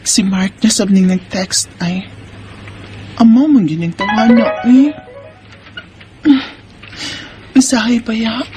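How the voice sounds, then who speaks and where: A woman's voice: a little high, unhurried, noisy background, natural voice. A woman with a Cebuano Bisaya accent playing a married mother, teasing yet guarded, in a radio drama with first-person narration. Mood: musing.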